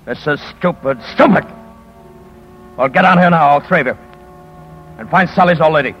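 An actor playing a smug, menacing gangster boss with a tough, dismissive, slangy delivery in 1950s radio drama style. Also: male voice, fairly high pitch, normal speed, a bit bright, faint music behind, natural voice. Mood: irritated. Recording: dull vintage 1950s radio broadcast.

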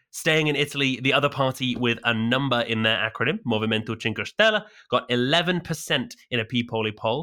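A man's voice: medium pitch, bright, forceful tone. A man co-hosting a scripted news roundup, reading headlines with dry, wry asides. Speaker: news podcast co-host.

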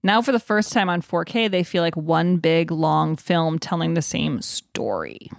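A woman with a slightly low voice chats casually as a podcast co-host. The sound is dry and close, with very little room on it. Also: unhurried, vocal fry, no echo. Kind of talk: casual chat.

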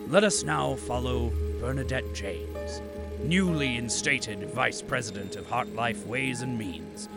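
A pompous company narrator for an audio drama. His voice is gravelly and mid-pitched, with florid, measured phrasing over clear music. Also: animated. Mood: pompous, disdainful. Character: pompous male corporate propaganda narrator.

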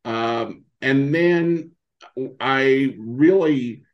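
A middle-aged man, a podcast guest, answering in a casual, halting way and often rephrasing himself. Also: mid-range voice, dull audio. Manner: halting, hesitant, frequently rephrasing. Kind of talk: casual interview answers, reminiscing.

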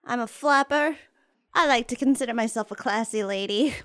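Girl putting on a classy flapper lady for radio rpg, highish clear voice. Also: moderate pace, a bit bright, close to an everyday voice. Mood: coy, airy. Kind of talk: in-character introduction for roleplaying audio drama.

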